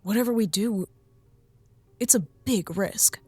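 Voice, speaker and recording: A woman's voice: medium pitch, mellow, close to an everyday voice. A woman playing a grumpy, blunt and skeptical space crew member in an audio drama, with a sarcastic manner. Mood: grave. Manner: sarcastic, exasperated, deliberate.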